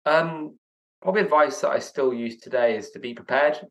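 A man's voice: medium pitch, fairly quick, low-quality recording. A young man being interviewed as a guest speaker, answering in a reflective, earnest way with some stammering restarts.

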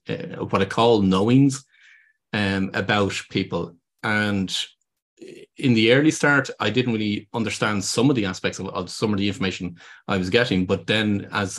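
Man, Irish accent, very low voice, earnest, halting explanations, podcast guest. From Ireland. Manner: earnest, explanatory, with many ums and restarts. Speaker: guest, business consultant. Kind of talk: podcast interview.

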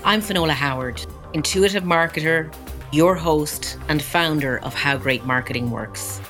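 A woman's voice: sits low, moderate pace, a bit bright, faint music behind. A woman hosting a marketing podcast, introducing the show and chatting in a conversational interview style.

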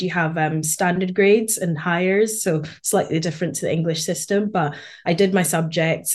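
A woman in her late twenties with a Scottish accent from Edinburgh, speaking as a podcast guest in a relaxed, thoughtful way on a remote call. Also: lowish voice. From born in Malawi, raised in Scotland. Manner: thoughtful, with frequent fillers and light laughs. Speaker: podcast guest, quantity surveyor. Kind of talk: casual conversational interview.

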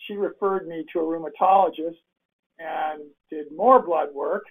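A man's voice: fairly high pitch, measured pace, husky. An older man hosting a casual interview, rambling with restarts and fillers over a call. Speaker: interview host. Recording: phone-like remote call audio.

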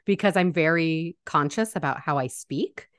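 Bubbly low-voiced woman podcast host. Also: moderate pace, dry recording, studio quality. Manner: bubbly, laughs often, many fillers. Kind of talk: casual podcast interview.

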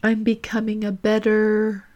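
A woman leading a guided reflection in the manner of a podcast host, gentle and deliberate, with long reflective pauses. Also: mid-range voice, completely flat delivery, dull audio.

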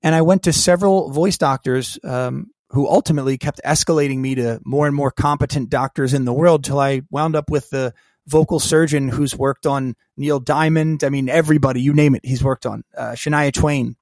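A man telling a personal story as a podcast guest, lively and acting out the voices of people in it. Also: medium pitch, fairly quick, no echo. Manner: animated storyteller who acts out quoted dialogue. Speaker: guest, keynote speaker and coach.

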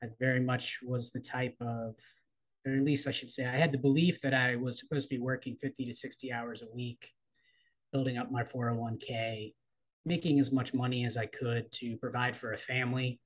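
Interview guest, male, 30s, from the US East Coast. Thoughtful, candid, measured delivery. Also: medium pitch, low-quality recording. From East Coast of the United States. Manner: thoughtful, measured, with frequent ums and trailing pauses. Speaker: guest, coach and writer. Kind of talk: reflective personal interview.

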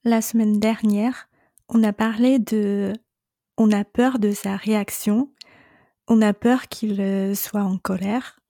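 A middle-aged woman hosts a solo coaching podcast in a calm, reassuring tone. Her voice is mellow and warm, and she takes her time over each phrase. The sound is dry and close, with no room around it. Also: medium pitch, slow pace, dry recording. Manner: calm, reassuring, speaks directly to the listener. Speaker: separation coach and podcast host.

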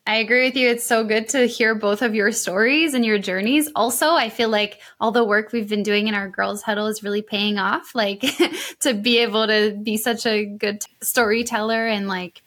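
Affirming woman podcast host. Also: mid-range voice. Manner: warm, affirming, encouraging. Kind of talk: casual interview.

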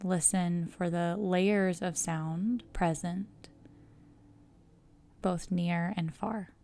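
A woman guiding a relaxation meditation, speaking in a calm, measured, soothing way with pauses between phrases. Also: on the low side. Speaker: yoga nidra guide. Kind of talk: guided meditation narration.

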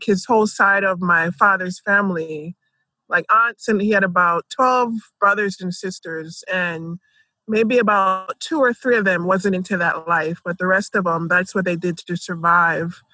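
A woman's voice: mid-range voice, sharp tone, dry recording, poor audio. A woman, telling her life story conversationally and peppering it with "like" and "you know". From Jamaica and Philadelphia, United States. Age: 30s.

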